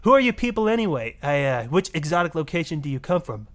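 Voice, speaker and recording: A man's voice: a little high, sing-song, fast. A man playing a sarcastic, sceptical talk-show host on parody radio. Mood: snide. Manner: sceptical, snide.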